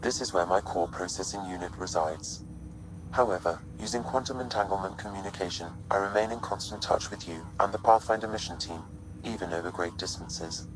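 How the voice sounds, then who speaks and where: A man playing a calm, analytical artificial intelligence in a video game, speaking in measured, formal, precise explanations. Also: deep voice, close to an everyday voice, low-quality recording. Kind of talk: scripted video game dialogue.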